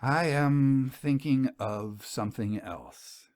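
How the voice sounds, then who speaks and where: Man acting an ardent, solemn gentleman suitor. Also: medium pitch, slow, no echo, clean studio sound, natural voice. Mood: solemn, hesitant. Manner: solemn, ornate, fervent.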